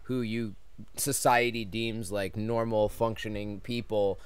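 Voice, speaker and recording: A man hosting a podcast in a casual interview, friendly and quick to laugh. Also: on the low side, little pitch movement, smooth. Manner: friendly, laughs easily, asks questions. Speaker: podcast host.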